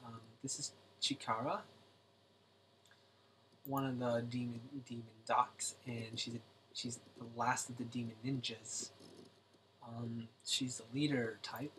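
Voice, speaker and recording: Male streamer, mid pitch, slightly raspy, hushed casual chat, faint background noise. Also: steady tone, a little slow, rough recording. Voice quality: speaks softly to keep voice down. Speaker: livestream host drawing. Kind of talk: casual late-night livestream chat. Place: home at night.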